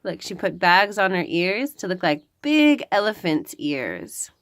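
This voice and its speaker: A woman's voice: on the low side, sing-song, a little slow, a bit bright. A woman hosting a children's read-aloud, reading a picture book and chatting to the listener about the pictures. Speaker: host of a children's read-aloud library show.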